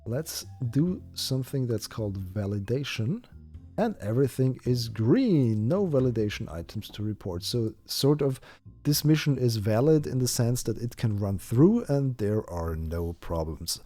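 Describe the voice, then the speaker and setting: Man with German as first language, streaming gameplay, mellow tone, faint music underneath. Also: medium pitch, very animated, unhurried. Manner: thinks aloud with many fillers and restarts. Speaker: game streamer. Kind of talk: live-stream gameplay chat.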